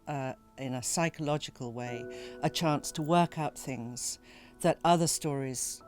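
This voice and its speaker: A woman speaking as an author in an interview, reflective and deliberate. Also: low voice, darkish tone.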